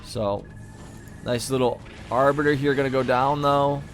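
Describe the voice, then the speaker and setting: A man's voice: medium pitch, fairly even intonation, a little slow, faint music behind. A man giving live commentary on a real-time strategy match in an easygoing, thinking-aloud way, and he is losing his voice. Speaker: game caster.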